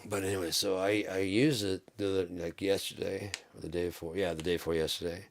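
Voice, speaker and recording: Deep-voiced man rambling casually. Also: level tone, fast, a little hiss or hum. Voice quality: deep, bassy voice. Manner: loose, profane, meandering with many restarts. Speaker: channel host.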